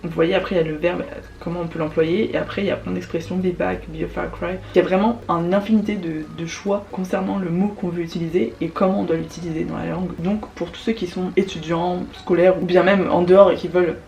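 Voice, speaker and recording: A woman's voice: fairly low pitch, little pitch movement, fast, creaky, a little mellow, distant mic, a little hiss or hum. A young French woman chatting casually in a vlog, demonstrating apps, full of fillers, slang and asides. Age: young adult. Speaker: YouTuber recommending apps. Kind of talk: casual vlog chat with screen demo.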